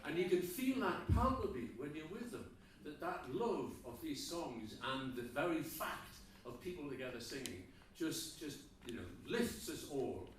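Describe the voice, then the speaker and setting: Lively man speaking in a very echoey hall. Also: fairly high pitch, normal speed, few pauses, slight background noise. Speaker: award presenter and event speaker. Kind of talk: informal live stage address. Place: large indoor festival venue, live audience. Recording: echoey hall, distant mic, crowd.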